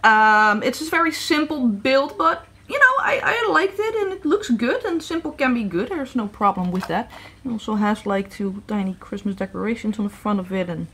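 A woman's voice: fairly high pitch, expressive, normal speed, pushed and bright, slightly rough. Chatty woman reviewing toys at home. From Netherlands. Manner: chatty, hesitant, many fillers and restarts. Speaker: toy reviewer. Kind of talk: casual product review. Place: indoor room at a tabletop set.

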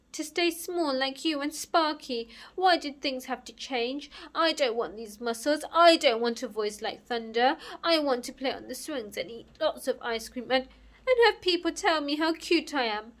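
A woman's voice: sits high, normal speed, pitched above the performer's own voice. A woman voicing a big, muscular, hot-tempered storybook bully boy who is wounded underneath, with emotional outbursts. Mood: weeping, self-pitying. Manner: loud, growling, emotional outbursts.

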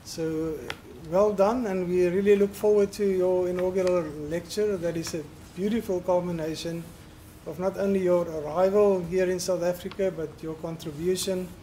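A man in his fifties gives a formal introduction at a lectern in a large lecture hall. His voice is high-pitched yet dark and mellow in tone. He speaks warmly and haltingly, with a faint hiss in the background. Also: fairly even intonation. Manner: warm, halting with uh and um. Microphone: podium microphone.

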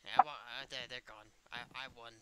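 A man's voice: mid-range voice, steady tone, a little fast, fairly bright tone, close to an everyday voice. A man voicing an evil, smug animatronic puppet in an improvised game roleplay, with a taunting, blasé manner. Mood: casual, smug. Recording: noisy home mic with clicks.

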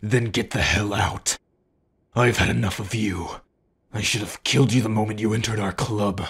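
Man as very raspy, cruel werewolf crime boss. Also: lowish voice, moderate pace, a bit bright, exaggerated voice. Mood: menacing, gloating. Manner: threatening, sneering. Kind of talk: amateur audio roleplay drama.

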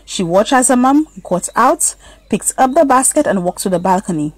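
A woman's voice: fairly high pitch, lively intonation, moderate pace, no echo. A woman narrating a children's story as a scripted read-aloud.

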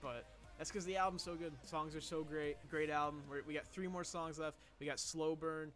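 Slightly hoarse young man, chatty. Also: on the high side, fairly even intonation, moderate pace, a bit bright, faint music behind. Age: young adult. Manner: chatty, rambling, with restarts. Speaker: music reaction YouTuber. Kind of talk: casual reaction commentary. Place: small bedroom. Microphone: headset.

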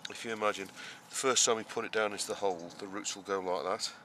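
Low-voiced man giving a garden tour. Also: steady tone, quick pace, pushed and bright. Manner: rambling, many fillers and restarts, explains step by step. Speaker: allotment gardener, show host. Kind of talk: casual garden tour walkthrough. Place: outdoors on a vegetable allotment. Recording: noisy outdoor camera audio. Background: outdoor rural ambience.

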